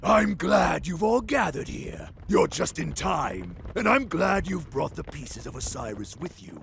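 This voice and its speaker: An actor playing a gloating, menacing warlord in a video game cutscene, with a theatrical, taunting, drawn-out delivery. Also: male voice, on the high side, sing-song, creaky, a bit bright, a little hiss or hum, heavily acted.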